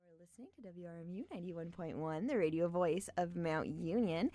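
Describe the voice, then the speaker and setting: A young American woman hosting a college radio show, chatting casually in an animated, encouraging way. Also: lowish voice, fairly quick, a crowd in the background. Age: young adult. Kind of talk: casual group chat on radio.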